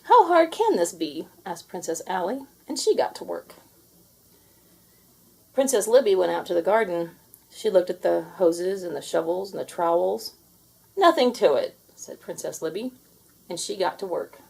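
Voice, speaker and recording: Mellow-voiced woman reading a children's storybook. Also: lowish voice, normal speed, creaky, husky, poor audio. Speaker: storybook reader. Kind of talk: children's read-aloud narration with character voices.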